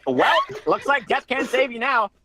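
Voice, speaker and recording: A woman's voice: a little high, moderate pace. A woman improvising comedic dub commentary as a fandub performer, with a mock-dramatic, sardonic manner. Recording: noisy live group stream, clicks.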